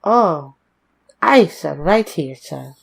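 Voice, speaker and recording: A woman's voice: low voice, animated, drawn-out words, vocal fry, breathy edge, heavily acted. An actress playing a meek, earnest young woman seeking work, timid, polite and deferential, in an old-time radio drama style. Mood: nervous, respectful. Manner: polite, timid, hesitant, formal.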